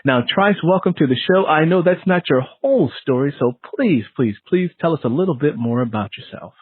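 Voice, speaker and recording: Animated man hosting over a tinny line. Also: a little high, a little fast, breathy edge. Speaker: host of a book show. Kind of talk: radio-style interview with scripted introduction. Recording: tinny phone-like line, roomy echo.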